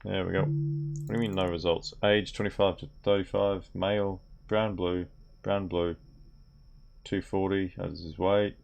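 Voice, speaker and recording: Young guy talking thru a game, deep and gravelly, reads stuff out loud, light keyboard clicking. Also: little pitch movement, unhurried, muffled recording. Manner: mutters aloud while reading on-screen text. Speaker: gaming video creator. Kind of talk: game commentary while playing. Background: keyboard typing and clicks.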